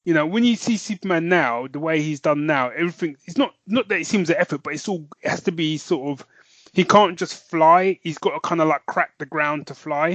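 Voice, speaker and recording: A man's voice: fairly high pitch, a little fast, a bit bright, dry recording. A man co-hosting a podcast, chatting with rambling enthusiasm and a lot of "man". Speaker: podcast co-host. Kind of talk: casual chat.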